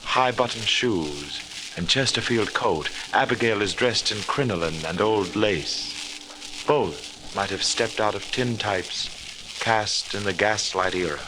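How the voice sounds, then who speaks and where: A man narrating a mystery in scripted 1940s radio style, with a dramatic, deliberate delivery. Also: lowish voice. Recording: noisy old 1940s radio recording.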